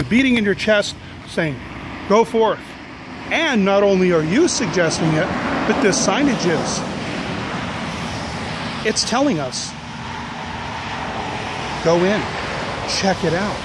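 Playful, mock-dramatic man in his forties, high for a man, handheld outdoor vlog with clear road noise behind. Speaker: vlog host. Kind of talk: jokey exploring vlog. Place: outdoors by a road, overcast and drizzly. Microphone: handheld camera at arm's length. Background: passing cars.

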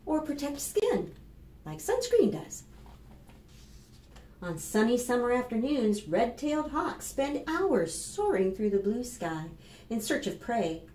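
A woman in her fifties with an American accent, reading aloud to preschoolers as a children's librarian in an expressive, instructive manner. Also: on the high side, slow. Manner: expressive, instructive, addressing young children with questions. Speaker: children's librarian and storytime host. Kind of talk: read-aloud and rhymes for preschoolers. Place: small plain indoor room. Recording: roomy echo with clear background noise.

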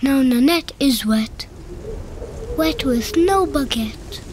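A woman reading a children's picture book aloud in a slow, playful storybook manner. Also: high voice, darkish tone, slight background noise. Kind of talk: children's read-aloud narration. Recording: faint music behind the voice.

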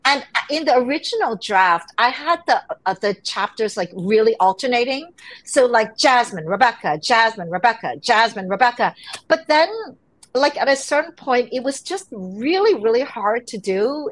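A middle-aged woman speaks from her home on a video call, her voice sitting a little high. She tells her stories with animation, reaching for quoted voices now and then. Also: rough recording. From Hong Kong and New York. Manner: animated storytelling. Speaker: guest author. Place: home room.

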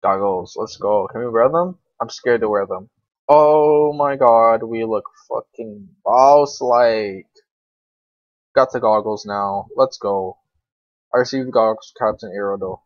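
A man's voice: fairly low pitch, unhurried, dry recording. A young man giving casual, unscripted game commentary, rambling to himself in slang with some swearing. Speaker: gaming video creator. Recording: dull, lo-fi microphone.

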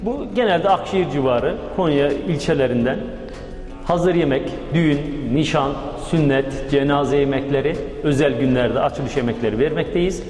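A man's voice: on the high side, normal speed, a little mellow. A man in his fifties, a business owner, giving plain, brief answers as an interview guest. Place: indoor storeroom with metal shelves of pots. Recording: echoey reverberant room, music behind.

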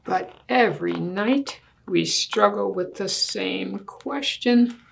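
An older woman reading a picture book aloud to children in a deliberate manner, putting questions to her listeners. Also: mid-range voice, vocal fry, a little hiss or hum, low-quality recording. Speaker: story time reader. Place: small indoor room with books and wall quilt.

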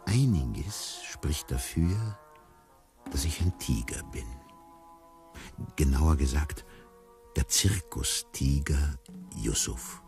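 A philosophical circus tiger narrates a radio play in a very low, mellow voice. He speaks slowly and pensively, with a lively rise and fall. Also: gravelly, heavily acted. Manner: measured, pensive, addressing the listener. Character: circus tiger narrator musing on his own identity.

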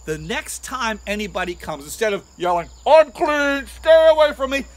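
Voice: mid-range voice, animated, a little fast, fairly bright tone, pitched below the performer's own voice, natural voice. A storyteller voicing an earnest outcast man with pleading, dramatic delivery in a tale for children. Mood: hopeful. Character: earnest afflicted outcast man, anguished but hopeful. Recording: outdoor recording with insect noise.

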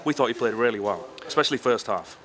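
Casting: male sports interviewer, quick talker, mid-pitched voice, conversational post-match questions. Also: expressive, a crowd in the background. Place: outdoors at a football ground at night.

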